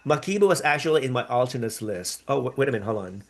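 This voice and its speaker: A man's voice: medium pitch, lively intonation, fast. A man born in the Philippines who grew up in America, hosting a live reaction stream in a rambling, chatty way with upbeat bursts. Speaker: podcast host reacting live to a pageant. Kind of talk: live-stream reaction chat reading viewer comments.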